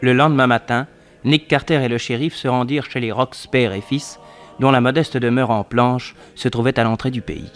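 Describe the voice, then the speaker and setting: A man's voice: medium pitch, steady tone, moderate pace, faint music behind. A man reading scripted narration for a radio drama.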